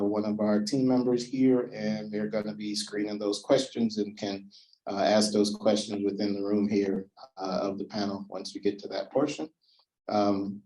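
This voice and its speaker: A man hosting a community meeting in a meeting room, explaining the ground rules in a relaxed way with many fillers. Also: lowish voice, rough recording. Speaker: event host. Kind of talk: community presentation.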